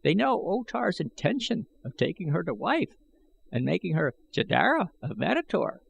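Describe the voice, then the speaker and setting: Bent old man for an audiobook, high swoopy voice, eager, pleading, old-timey talk. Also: normal speed, creaky, husky, heavily acted, poor audio. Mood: urgent warning. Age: older. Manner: excitable, archaic, pleading speech. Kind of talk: audiobook character voice.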